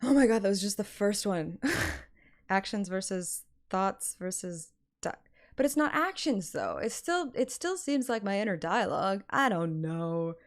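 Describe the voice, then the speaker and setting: A woman's voice: medium pitch, very animated, unhurried. A woman chatting casually on a live stream in a self-mocking, exclamatory way, with music behind her.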